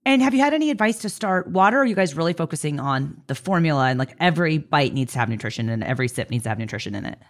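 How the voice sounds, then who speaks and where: A woman interviewing in an informal, conversational way, animated and encouraging. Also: low-pitched, racing, hardly any pauses. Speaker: podcast interviewer.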